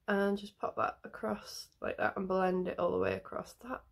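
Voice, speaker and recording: A woman's voice: mid-range voice, creaky, breathy edge. A woman with a nasal, bunged-up voice from a cold, chatting in a rambling way while doing her makeup on camera, with lots of ums. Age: adult parent. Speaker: makeup vlogger. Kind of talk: casual makeup tutorial chat.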